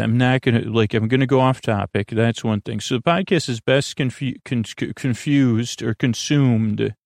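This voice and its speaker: A man hosting a bedtime podcast in a rambling monologue, halting and stammering with frequent false starts and self-corrections, in a gravelly voice. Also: mid-range voice, no room sound, studio quality. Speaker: podcast host.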